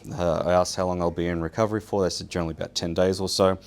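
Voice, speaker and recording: A man's voice: low voice, little pitch movement, rapid-fire. A man whose first language is Polish, giving an informal first-person talk to an audience in a casual, self-deprecating, wry manner. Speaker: guest speaker, survival show winner.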